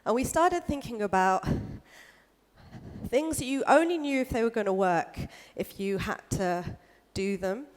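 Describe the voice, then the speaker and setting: A woman's voice: fairly high pitch, lively intonation, a little slow. A woman from the UK giving a casual conference talk, with lots of "kind of" and "like" fillers. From United Kingdom. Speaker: speaker. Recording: echoey hall.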